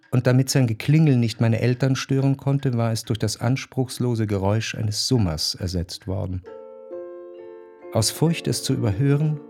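An actor playing an introspective, yearning gentleman who narrates a literary radio drama in a measured, reflective way. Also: mid-range voice, close to an everyday voice.

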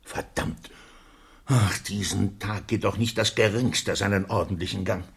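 An actor playing an exasperated, grumbling gentleman master of the house in a radio play, with a husky voice and irritable, dramatic manner. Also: lowish voice, fairly even intonation, a little slow, heavily acted. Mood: exasperated, gloomy.

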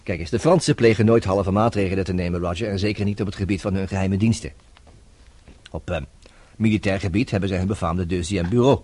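A man's voice: low-pitched, quick pace, a little mellow, dry recording. An actor playing a worldly, self-assured man who advises his troubled friend in a chatty, teasing radio drama style. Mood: explaining, confident.

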